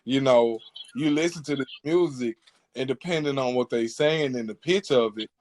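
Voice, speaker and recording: A man's voice: medium pitch, normal speed, darkish tone, slight background noise. Male podcast host, mid-range voice, earnest and informal, opinionated conversational delivery. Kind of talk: casual opinionated podcast talk.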